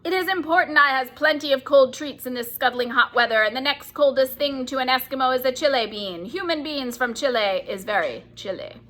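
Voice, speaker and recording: A woman voicing a huge, greedy, brutish male giant who booms and bullies. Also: fairly quick, few pauses, pushed and bright. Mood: gloating. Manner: booming, crude and bullying.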